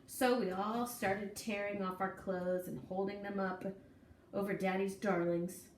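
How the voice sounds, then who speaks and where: A woman reading a children's story aloud in an expressive, folksy, deliberate way with dramatic emphasis. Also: mid-range voice. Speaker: storybook reader. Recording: echoey room, distant mic, faint noise.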